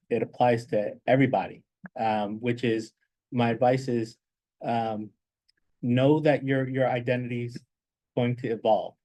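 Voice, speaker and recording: A man giving reflective advice as a guest speaker, in a halting way that repeats words as he thinks. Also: mid-range voice, dark tone, poor audio. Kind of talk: reflective advice in an informal talk.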